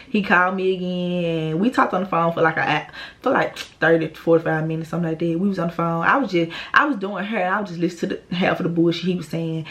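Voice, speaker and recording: A woman, telling a profane, animated storytime to her vlog viewers. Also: fairly low pitch. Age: 20s. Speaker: vlogger. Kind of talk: casual storytime monologue.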